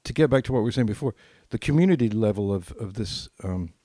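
A man's voice: on the low side, lively intonation, fast talker, mellow, no room sound, studio quality. An older man telling anecdotes casually as a podcast co-host, acting out bits of dialogue as he reminisces.

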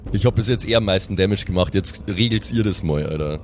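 A man's voice: low-pitched, fast, darkish tone, slight background noise. A man chatting as a player in a tabletop game, flippant and slangy. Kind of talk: casual joking table talk.

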